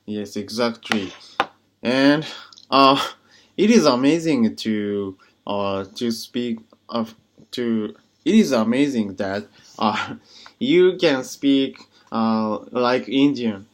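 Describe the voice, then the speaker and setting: A man practicing English as a learner, with Japanese as his first language, talking hesitantly with many pauses and restarts. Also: medium pitch. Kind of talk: casual conversation practice.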